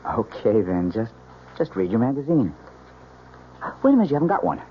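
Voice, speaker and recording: An actor playing a breezy, affectionate young newlywed husband in an old-time radio mystery, teasing and casual with a slight stammer. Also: male voice, medium pitch, sing-song, a little fast, lightly acted. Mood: easygoing. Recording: dull old radio recording, faint hiss.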